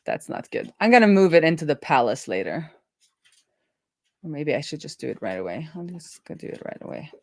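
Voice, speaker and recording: A woman's voice: sits low, very animated, a little fast, a little mellow, no echo, clean studio sound. A woman hosting a coding livestream, chatting casually and thinking aloud as she works.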